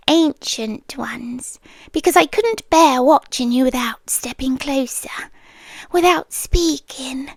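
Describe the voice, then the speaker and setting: A possessive, devoted guardian angel, played by a British woman, whispers close into the mic in an ASMR roleplay. Her voice sits high and unhurried. The sound is dry, with no room around it. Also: no room sound, exaggerated voice. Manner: soft, intimate, often whispered.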